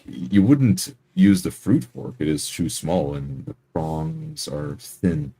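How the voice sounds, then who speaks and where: A man playing a tabletop game, improvising his character's words and actions in measured, slightly formal wording. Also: low-pitched, big pitch swings, vocal fry, warm tone, slightly rough.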